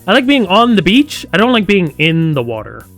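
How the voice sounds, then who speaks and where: Higher-voiced man streaming over music. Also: very animated, fairly quick, a bit bright. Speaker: game streamer. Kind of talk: live let's-play, reading story text aloud with casual asides. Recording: music playing behind the voice.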